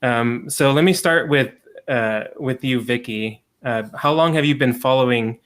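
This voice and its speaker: A man hosting a casual livestream over a video call, relaxed and chatty, with frequent ums and laughs. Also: mid-range voice, measured pace. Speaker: livestream host and sports writer.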